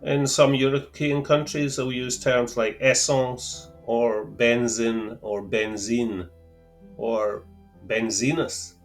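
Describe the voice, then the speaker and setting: A man with a Scottish accent explaining fuel terms to camera in a careful, deliberate way, with a lightly wry touch. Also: mid-range voice, fairly even intonation, faint music behind. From Scotland. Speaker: outdoor gear channel host. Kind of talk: measured explanatory talk to camera.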